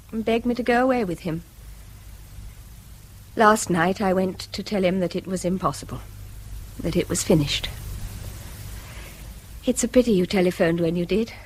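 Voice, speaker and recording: An actress playing a restrained, wistful middle-aged English wife in a radio drama, with a breathy, husky edge. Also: female voice, medium pitch, normal speed, long pauses, a little hiss or hum, natural voice, low-quality recording. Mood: subdued confession.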